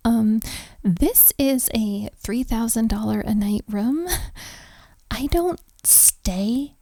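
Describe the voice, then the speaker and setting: A woman playing a flustered, self-deprecating young hotel maid in an intimate audio roleplay, speaking haltingly with soft, giggly breathiness. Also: on the high side, dry recording, heavily acted. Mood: surprised, teasing. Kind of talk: intimate audio roleplay, one-sided conversation.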